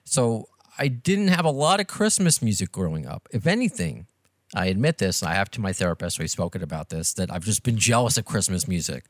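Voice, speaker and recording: A man's voice: deep voice, big pitch swings, a little fast, no echo, studio quality. A middle-aged man with a New York accent, hosting a podcast interview in a chatty, self-deprecating way. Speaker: podcast host and radio presenter. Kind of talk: casual interview chat.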